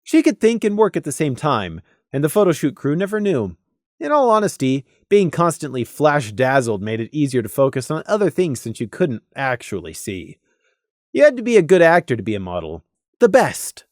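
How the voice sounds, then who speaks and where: An expressive storyteller reads scripted fiction aloud. The delivery is dramatic, with a very lively, mid-pitched melody and deliberate pauses. The sound is dry, with little room in it. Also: no room sound. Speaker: audiobook narrator.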